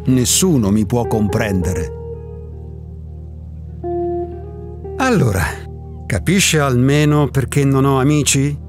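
An actor in a radio drama playing an eccentric, disillusioned male recluse who muses philosophically with theatrical rhetorical questions, in a husky voice. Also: medium pitch, lively intonation, unhurried, music in the background, lightly acted. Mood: resigned.

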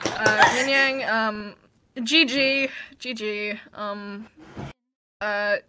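A woman improvising a joking cartoon fandub with melodramatic, halting delivery. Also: mid-range voice, steady tone, pushed and bright. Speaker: amateur fandub voice actor.

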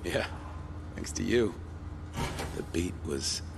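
An actor playing a gruff, weary, wry zombie-slayer in a video game cutscene, speaking in a dry, laconic way. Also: male voice, low-pitched, lively intonation, takes it slowly, noisy background, full character voice. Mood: weary, concerned.

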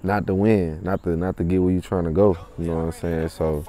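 A man's voice: low voice, fairly even intonation, fairly quick, dark tone, soft background music. A young man, a rapper talking casually in a laid-back, slangy way in an outdoor interview. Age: young adult. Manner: laid-back, slangy, with frequent tag phrases. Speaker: rapper and clothing brand owner. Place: outdoors in a driveway beside a parked car.

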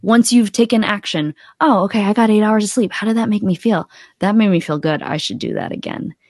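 A woman's voice: medium pitch, animated, brisk, darkish tone, no room sound, clean studio sound. A woman in her thirties, a coach being interviewed on a podcast, explaining casually step by step. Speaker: podcast guest, accountability coach. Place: room at home, video call. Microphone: close desktop podcast mic.

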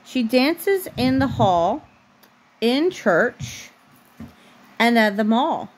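A woman's voice: on the high side, very animated, extremely slow, rough recording. A woman reading a picture book aloud to her small child at bedtime, as a mother at home, recorded on a phone. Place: bedroom at home.

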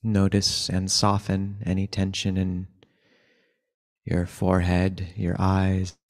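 Low, even-toned man guiding meditation. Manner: unhurried, gentle instruction with long pauses. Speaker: meditation teacher. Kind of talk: guided meditation instruction.

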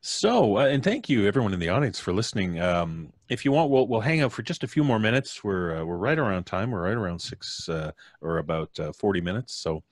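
Middle-aged man, deep voice, lively intonation, playful host, dry close studio sound. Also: brisk, hardly any pauses, dry recording. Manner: jokey, playful. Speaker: live stream host. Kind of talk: casual banter and sign-off. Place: indoor home studio. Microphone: studio mic on boom arm, headphones.

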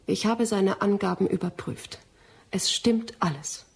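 An actress in a German radio drama playing a determined, accusing young scientist of the nineteenth century, earnest and precise. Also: female voice, medium pitch, measured pace, vocal fry, breathy edge, heavily acted. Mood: grave, intent.

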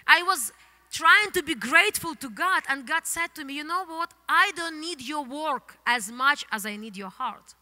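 Bright Ukrainian-accented woman pastor preaching. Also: sits high, normal speed. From Soviet Union. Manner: animated, gesturing, non-native English phrasing. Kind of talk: sermon. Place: church stage, indoors, large hall. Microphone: handheld microphone. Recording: long hall reverb from the church.

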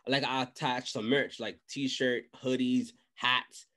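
Young guy chatting advice, slangy, animated, repeats himself a lot, medium pitch. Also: slow pace. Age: young adult. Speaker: podcast host and music industry adviser. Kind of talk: casual chat, giving advice. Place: small indoor room, video call. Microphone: desk microphone, close.

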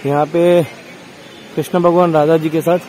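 Guy walking round a temple explaining paintings, bit high voice, loud background noise outside. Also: level tone, normal speed. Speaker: travel vlogger. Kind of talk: casual walking tour commentary. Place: outdoors at a large marble temple. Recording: noisy outdoor phone recording.

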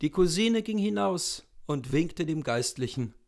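A man in his thirties narrates a story from a book. He reads slowly, with a noticeable creak in his voice, in a small indoor room. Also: a little high, expressive, a little mellow. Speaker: literary reader. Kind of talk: scripted story narration, reading aloud.

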